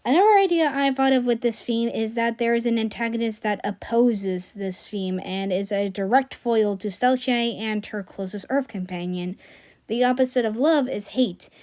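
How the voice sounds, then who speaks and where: A woman's voice: fairly high pitch, normal speed, a little mellow. A woman narrating a scripted video as a fan writer presenting a personal project.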